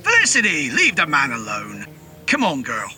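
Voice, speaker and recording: A man voicing a heavy-set, well-spoken gentleman dog owner, friendly and chatty, in an audio story. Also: a little high, huge pitch swings, normal speed, faint music behind, heavily acted. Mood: mock exasperated. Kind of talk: dramatised audio horror story dialogue.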